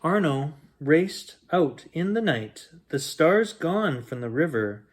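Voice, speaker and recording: A man reading a rhyming picture book aloud as a bedtime story, in a gentle, expressive storytelling manner. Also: a little high, takes it slowly. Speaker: children's bedtime story reader.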